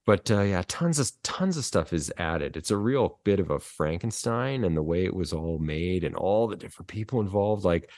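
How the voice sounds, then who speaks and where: Man, low pitch, casual film chat, rambling colloquial co-host, dry sound. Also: lively intonation, moderate pace, hardly any pauses, a little mellow, dry recording. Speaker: podcast co-host.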